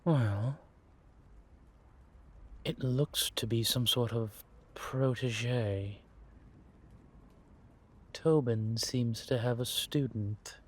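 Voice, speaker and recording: A man playing a sly, scheming vampire spy in an audio roleplay, husky and theatrical, savoring his words in drawn-out musings. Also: mid-range voice, a little mellow. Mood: calculating, intrigued. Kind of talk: scripted audio roleplay monologue addressed to a companion.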